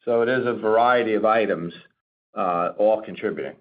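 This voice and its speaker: A man speaking as a company executive, answering questions on an earnings call in a halting, corporate way. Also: fairly low pitch. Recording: narrow, tinny phone-conference line.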